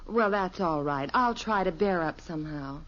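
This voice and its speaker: An actress playing a gentle, wry middle-aged American wife and mother in a 1950s radio sitcom, polite and unruffled. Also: on the low side, animated, moderate pace. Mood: gently wry. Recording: dull vintage radio recording.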